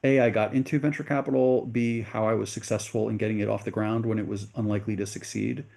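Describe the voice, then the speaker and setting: A middle-aged man from the United States speaks as an interview guest, with a dark, mellow tone and a gravelly texture. He tells his story earnestly and at length, often correcting himself partway through a sentence. His voice sits fairly low. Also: level tone, fast. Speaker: venture capitalist guest.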